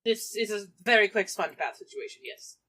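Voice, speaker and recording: A woman's voice: medium pitch, animated, normal speed, bright, forceful tone. A woman playing a tabletop roleplaying game, chatting casually and playfully in character.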